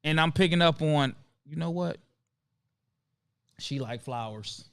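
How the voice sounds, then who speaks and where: A man's voice: a little high, a bit bright, smooth, dry recording. Laid-back man hosting a live chat. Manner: laid-back, calls out viewers by name, drawn-out emphasis. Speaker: livestream host. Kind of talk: casual livestream chat.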